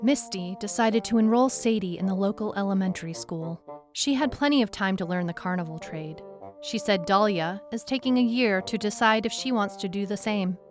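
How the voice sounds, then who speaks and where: A woman playing a tough, weary, skeptical detective who narrates her case in a measured, dramatic, reflective way. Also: medium pitch, natural voice. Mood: brisk, wry. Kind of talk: scripted first-person story narration. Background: music bed under the voice.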